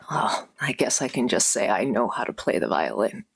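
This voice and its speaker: A woman playing a wry, reluctant adventurer in a tabletop game, speaking haltingly. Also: a bass voice, big pitch swings, gravelly, husky, no room sound, voice pushed down, exaggerated voice.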